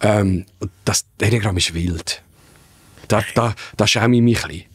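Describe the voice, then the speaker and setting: A man's voice: fairly low pitch, normal speed, breathy edge. A man in his fifties from Bern with a Swiss German accent, chatting as a podcast guest in a studio, telling stories with dry asides. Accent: Swiss German, Central Swiss mix. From Switzerland, canton of Bern. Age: 50s. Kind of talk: casual conversation. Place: dark podcast studio at a table. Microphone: studio desk mic on a boom arm.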